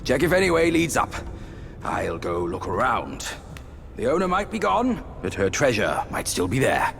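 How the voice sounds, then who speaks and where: An actor playing a sardonic old immortal with a wry, drawling delivery in a game cutscene. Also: male voice, medium pitch, sing-song, normal speed, gravelly, fairly bright tone, slight background noise.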